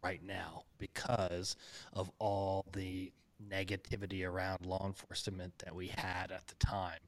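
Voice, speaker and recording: A man's voice: sits low, completely flat delivery, measured pace, dry recording. An American man hosting a casual interview, rambling with many fillers and frequent laughs. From United States. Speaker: podcast host.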